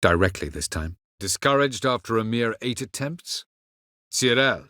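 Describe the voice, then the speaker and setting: An actor playing an ancient, aloof elven sage in a video game, speaking in a measured, formal and condescending way. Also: male voice, low voice, fairly bright tone, dry recording, clean studio sound. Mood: cold, scornful. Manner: measured, formal, haughty delivery. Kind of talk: scripted video game dialogue.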